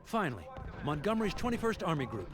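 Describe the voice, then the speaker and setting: An actor playing an eager, respectful military aide in a war game, giving brisk, deferential reports. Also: male voice, on the high side, sing-song, crowd noise. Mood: dutiful. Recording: loud music and battle sound behind.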